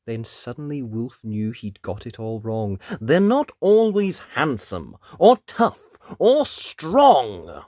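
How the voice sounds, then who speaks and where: A man narrating a children's storybook in an expressive read-aloud style. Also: medium pitch, slow, dry recording, studio quality. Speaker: storybook narrator and channel presenter.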